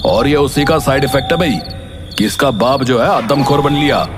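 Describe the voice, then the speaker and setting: A man's voice: medium pitch, normal speed, background noise, natural voice. A man voicing a stern village police inspector, explaining in a brusque, accusing way in a cartoon. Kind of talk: cartoon voice acting. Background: music.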